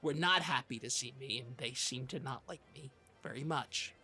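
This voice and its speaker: A woman co-hosting a comedy podcast, improvising banter in a halting, hesitant way. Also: a bass voice, sharp tone, breathy edge.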